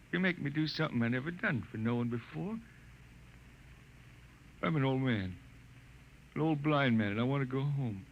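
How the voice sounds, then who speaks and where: A man acting an old blind spaceman who pleads to go home, with a folksy drawl and rough grammar. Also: on the high side, muffled recording. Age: older. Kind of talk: 1950s radio drama acting. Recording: noisy old radio broadcast.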